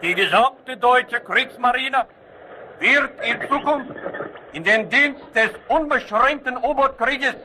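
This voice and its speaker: A noisy, clicking old recording of a German grand admiral making a fervent pledge. The oratory is slow and pitched extremely high for a man. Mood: solemn, fervent.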